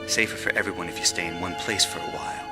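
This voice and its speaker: A cool game adventurer talks in a low voice with music behind. He is dry, sardonic and brusque, a tough guy. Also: fairly even intonation, quick pace. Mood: wry, calm. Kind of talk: video game cutscene acting.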